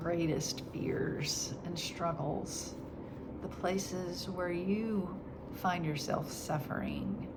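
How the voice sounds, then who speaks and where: Slow, soft-spoken woman, nasal from crying. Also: on the low side. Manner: soft and halting, slipping into whispers. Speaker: vlogger. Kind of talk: reflective faith vlog. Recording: steady background noise.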